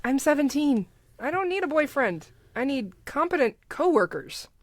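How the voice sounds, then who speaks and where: An exasperated teenage girl camp counselor, played by a woman, with a highish, very animated voice. She is sarcastic and organized, landing dry lines crisply in a comedy sketch. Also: normal speed, no room sound. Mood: dry, decisive. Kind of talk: comedic audio sketch acting.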